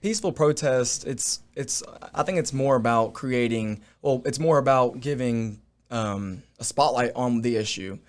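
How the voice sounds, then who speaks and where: A man's voice: mid-range voice, unhurried, hardly any pauses. A young man, a podcast guest, explaining his view earnestly in a casual discussion. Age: young adult.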